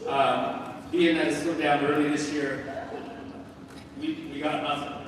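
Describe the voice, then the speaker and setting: A man's voice: fairly high pitch, fairly even intonation, unhurried. A man, a head basketball coach giving an informal, joking senior night speech full of "uh" fillers over a PA microphone in a large school gym. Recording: echoey gym from far off with crowd.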